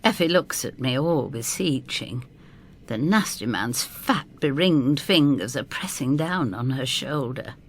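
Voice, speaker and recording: Older lady telling a spooky story, wry and blunt, takes her time, lively swoops, faint noise. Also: extremely low pitch, unhurried, heavily acted. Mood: wry, droll. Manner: wry, disapproving, droll. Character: blunt, protective, wry elderly woman narrator. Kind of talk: first-person dramatised storytelling.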